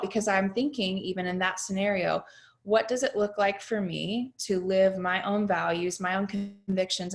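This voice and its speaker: Woman moderator, calm and explaining, mid pitch. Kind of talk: moderating a panel discussion.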